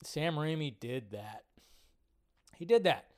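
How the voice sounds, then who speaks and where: A man's voice: fairly high pitch, lively intonation, takes it slowly. A man hosting a live movie fan stream, chatting informally and ramblingly as he reads and answers viewer comments.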